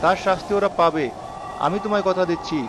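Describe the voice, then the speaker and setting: A man playing a brave, compassionate young village doctor in an animated story, earnest and reasoning, with music behind him. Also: sits high, quick pace, background noise, lightly acted.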